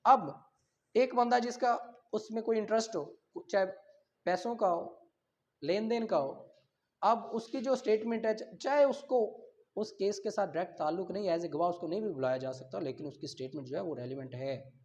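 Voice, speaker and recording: Male lecturer, Pakistani accent, high-pitched voice, explains step by step and repeats key terms. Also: normal speed. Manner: checks listeners' understanding, repeats key terms. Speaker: law teacher. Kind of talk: classroom lecture, reading out and explaining.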